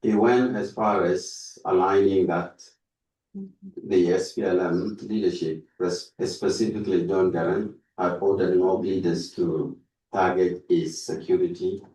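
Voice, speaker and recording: A man reading a prepared tribute aloud at a conference, haltingly, stumbling over words and repeating them. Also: deep voice, steady tone, warm tone. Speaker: speaker reading out a written tribute. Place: indoor conference room. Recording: roomy echo, distant microphone.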